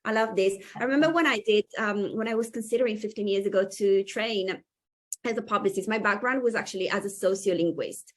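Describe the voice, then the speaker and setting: A woman's voice: mid-range voice, a little fast. A woman whose first language is Italian, hosting a casual interview chat in an enthusiastic, friendly way with hesitant fillers. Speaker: podcast host.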